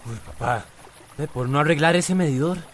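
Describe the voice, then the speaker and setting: An actor playing an earnest, protective teenage son with a Costa Rican accent in a radio drama. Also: male voice, fairly high pitch, moderate pace, a little hiss or hum, close to an everyday voice. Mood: resigned.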